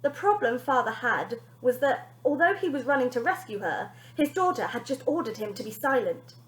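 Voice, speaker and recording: A woman teacher reading a children's story aloud in an expressive narrating style. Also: sits high, normal speed. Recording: echoey room.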